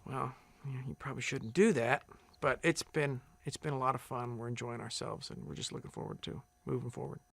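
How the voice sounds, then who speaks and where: A man who sings as a contestant, answering interview questions in a relaxed, rambling way with many fillers and restarts. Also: medium pitch, fairly quick, gravelly, hardly any pauses. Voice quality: big loud singing voice. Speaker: singing contestant.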